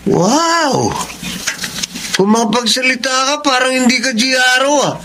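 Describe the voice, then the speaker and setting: A man's voice: very high-pitched, unhurried, background noise. A man playing a selfish, dismissive boyfriend with vices, brash and irritable in a Tagalog radio drama. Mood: dismissive, annoyed.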